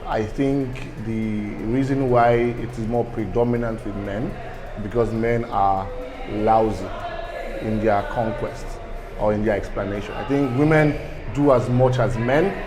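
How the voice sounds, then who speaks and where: A man giving a considered opinion in a measured, articulate way. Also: fairly low pitch, steady tone, music in the background, low-quality recording. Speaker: interview respondent.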